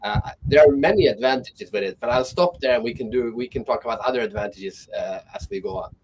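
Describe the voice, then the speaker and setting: A man talks through his ideas on an online panel, pausing and restarting his sentences as he thinks. His voice sits at a middle pitch, and there is a faint hiss of noise behind him. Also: darkish tone, rough recording. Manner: thoughtful, restarts mid-sentence. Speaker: guest speaker.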